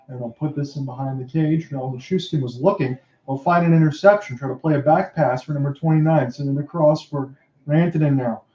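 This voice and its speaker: A man calling hockey play-by-play, his calls rising sharply and quickly on scoring chances. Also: a little high. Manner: rapid excited calls that rise sharply on chances. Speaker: play-by-play announcer. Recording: dull lo-fi roomy home mic.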